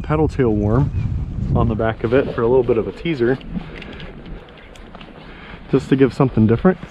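A man's voice: mid-range voice, lo-fi sound, slight background noise. A middle-aged man, narrating a casual fishing vlog outdoors into an action camera, talking informally to viewers and himself. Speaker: fishing vlogger. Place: outdoors by and on a pond. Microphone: action camera, handheld or worn.

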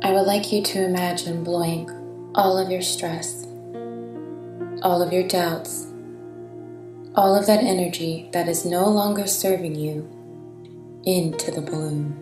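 Female meditation narrator, lowish and gentle, very unhurried delivery, reverberant sound. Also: steady tone. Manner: soothing, gentle, deliberate with long pauses. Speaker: meditation guide. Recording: reverberant, distant-sounding voice over soft music.

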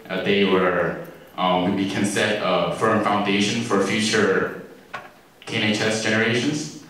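Low-voiced teen boy, halting and unsure. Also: poor audio. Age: teens. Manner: halting, with frequent ums and restarts. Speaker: student organizer interviewed. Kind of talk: casual interview. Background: music.

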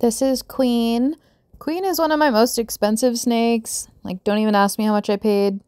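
Young woman vlogger, slightly high and smooth-voiced, unhurried and chatty, recorded dry outdoors on a phone. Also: fairly even intonation, a little mellow, no room sound. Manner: chatty, slow and affectionate. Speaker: vlogger showing pet snakes. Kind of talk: casual show-and-tell narration. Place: outdoors on a backyard deck.

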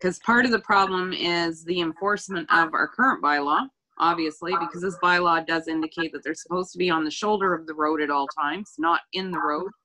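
A woman's voice: lowish voice, fairly quick, few pauses, sharp tone, low-quality recording. A woman town councillor making her case in a firm, methodical way on a video call. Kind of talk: informal meeting argument. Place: remote video call from a room.

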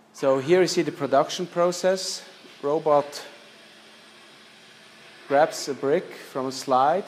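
A man's voice: mid-range voice, a little mellow, a little hiss or hum. Man lecturing with hesitant restarts. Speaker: architecture lecturer. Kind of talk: presenting slides in a lecture. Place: lecture room with projector screen.

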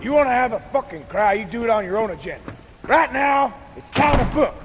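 An actor playing a short-tempered, foul-mouthed soldier in a war game, with a gruff barking manner. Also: male voice, extremely high pitch, animated, fairly quick, in a large hall, noisy background. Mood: angry. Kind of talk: video game cutscene acting. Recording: loud music over the voice.

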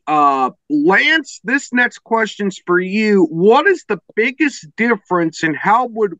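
A man's voice: sits high, bright, forceful tone, dry recording. A man hosting a podcast and reading out prepared interview questions, on a lo-fi recording. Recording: dull lo-fi microphone sound.

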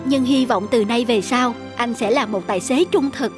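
Woman as a high-voiced dutiful village doctor. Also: normal speed, natural voice. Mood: reassuring. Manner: firm, reassuring. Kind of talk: cartoon dubbing. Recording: music behind the voice.

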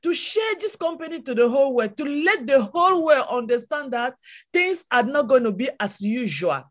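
A woman's voice: high voice, animated, normal speed. A woman from Cameroon, a native French speaker with a Cameroonian French accent, giving a passionate motivational testimony on a video call with a repetitive preaching cadence. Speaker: webinar speaker.

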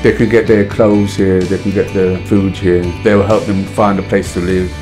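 A man's voice: sits low, level tone, normal speed. A middle-aged man, talking candidly and casually in a documentary interview. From London. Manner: candid, street-casual talk. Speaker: project member, musician. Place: indoor art room. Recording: music bed underneath.

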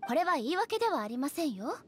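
A woman playing a composed, aloof deity ruler in an anime game, speaking formally and in a measured way. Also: sits high, big pitch swings, a little hiss or hum. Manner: formal, measured polite speech. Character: composed, dignified female deity ruler, aloof and literal-minded.

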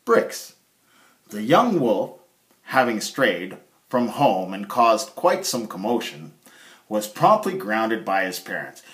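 A man's voice: lowish voice, fairly bright tone. A middle-aged man reading a children's story aloud as a storyteller, recorded on a phone indoors. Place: small bare indoor room. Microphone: phone at arm's length. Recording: echoey small room, phone mic.

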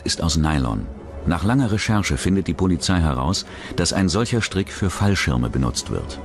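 A man reading scripted true-crime narration as the narrator of a documentary. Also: low voice.

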